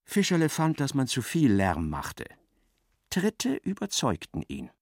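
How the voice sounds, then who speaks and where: A man narrating a literary radio drama as a wry, intense storyteller, expressive and precise, with a creaky voice. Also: medium pitch, unhurried, no echo, clean studio sound, heavily acted. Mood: dry, dramatic.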